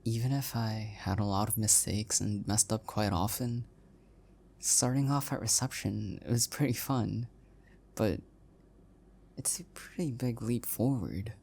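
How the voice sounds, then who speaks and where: A man playing an adorkable, self-deprecating young husband in an intimate one-sided audio roleplay, speaking softly and hesitantly with affection. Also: fairly low pitch, a little slow, vocal fry, husky, exaggerated voice. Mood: bashful, unsure.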